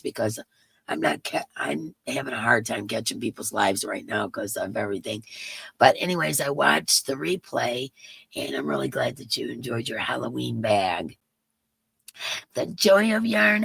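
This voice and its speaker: A woman with a raspy voice hosting a casual livestream in her yarn room, greeting chat viewers by name in a sing-song way and sounding emotional. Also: low voice, bright, forceful tone, no echo. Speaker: livestream host.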